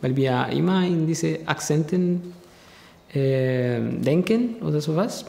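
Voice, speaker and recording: A Spanish-speaking music student from Latin America, answering interview questions in German with a Spanish accent and searching for words. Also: male voice, fairly high pitch, expressive, takes it slowly, gravelly, mellow, roomy sound, big-room sound.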